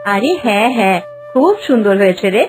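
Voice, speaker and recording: Lively, doting village mother, acted by a woman. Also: medium pitch, a little fast, soft background music, close to an everyday voice. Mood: tender, coaxing. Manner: doting, coaxing. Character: loving, gentle village mother of a young girl. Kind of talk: cartoon voice acting.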